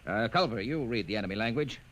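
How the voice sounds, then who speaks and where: An actor playing a stern military commander with a clipped, authoritative manner in a 1950s radio drama. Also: male voice, on the low side, animated, fast talker, fairly bright tone. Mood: curt, commanding. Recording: noisy old radio recording.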